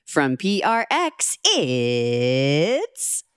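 Female radio announcer, very animated, playful banter. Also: low voice, extremely slow, clean tone, no echo, studio quality. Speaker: radio show announcer and co-host.

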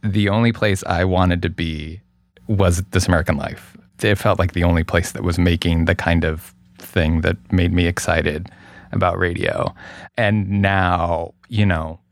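A man with a low voice full of vocal fry, recorded dry and close. He talks casually and thoughtfully as a guest, pausing and rephrasing as he hedges his way through an answer. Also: steady tone, dry recording. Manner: thoughtful, hedging, halting delivery. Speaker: guest, radio producer. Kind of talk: casual interview conversation.

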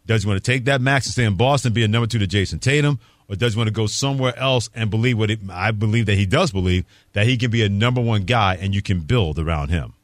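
A man's voice: fairly low pitch, fast, no echo, clean studio sound. An American man hosting a sports talk radio show with opinionated banter, emphatic and fond of piling up phrases.

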